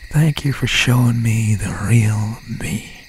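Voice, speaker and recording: A man playing an obsessed, menacing country slasher boyfriend in an ASMR roleplay, speaking in a breathy, halting, often whispered voice with folksy phrasing. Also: fairly low pitch. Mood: threatening, tender. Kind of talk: ASMR roleplay, slow and intimate. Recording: noisy night ambience with crickets, owl. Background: crickets, insects and an owl.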